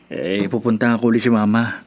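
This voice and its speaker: An actor playing a devoted, placating young husband and son in a Filipino radio drama, hesitant and coaxing, with stammers. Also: male voice, fairly low pitch, level tone, a little fast, darkish tone, no room sound, dull audio, natural voice.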